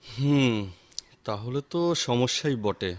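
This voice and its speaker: A man's voice: medium pitch, slow pace, close to an everyday voice. A man acting a deferential, helpful office subordinate in a Bengali radio drama, with faint noise behind him. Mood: suggesting.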